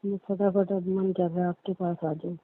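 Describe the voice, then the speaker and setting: A woman's voice: lowish voice, flat delivery, normal speed, dry recording, natural voice. A woman playing an affectionate, agreeable young wife on a casual call, answering in brief, compliant replies. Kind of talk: acted casual phone conversation with spouse. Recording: thin, tinny phone-call audio.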